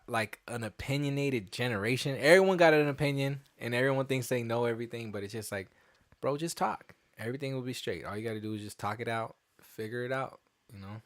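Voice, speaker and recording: A young man talks in a loose, slangy way, dropping curse words as he co-hosts a chat podcast. His voice sits at an ordinary middle pitch. The sound is dry and close, with no room echo. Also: a little fast. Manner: laid-back slangy talk, swears freely. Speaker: podcast co-host. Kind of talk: casual chat.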